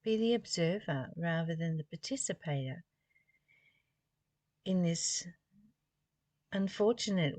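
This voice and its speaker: A woman's voice: lowish voice, animated, slow, long pauses, darkish tone, no room sound. A woman reading spiritual text aloud and musing on it informally, in a reflective way with rhetorical questions. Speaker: spiritual study reader and commentator.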